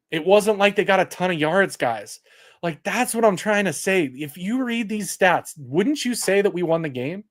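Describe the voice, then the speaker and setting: A man hosting a post-game sports livestream, with a loud, projecting voice, heated and exasperated as he breaks down stats for his chat. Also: high-pitched. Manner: reads stats aloud to chat. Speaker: sports talk host.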